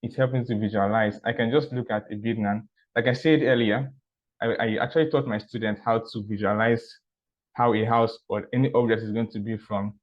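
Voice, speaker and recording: A man sharing personal stories in a measured, casual way, often repeating words and asking "right?". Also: fairly low pitch, steady tone. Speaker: art teacher and architecture student. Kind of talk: casual talk. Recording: dull, muffled recording.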